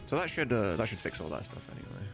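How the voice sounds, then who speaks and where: A man streaming casually while he works on a game project, talking in a halting, muttering way. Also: medium pitch. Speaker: streamer and game mod developer. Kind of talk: casual livestream chat while working. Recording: loud game music over the voice. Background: loud game music, keyboard typing.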